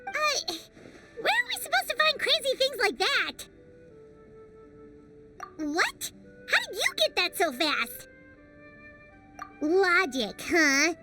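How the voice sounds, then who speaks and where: A woman's voice: extremely high pitch, huge pitch swings, slow, pauses often, full character voice. A woman voicing a tiny chatty fairy-like companion in a game cutscene, speaking of herself in the third person. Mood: excitable. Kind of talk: video game cutscene dubbing. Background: game music.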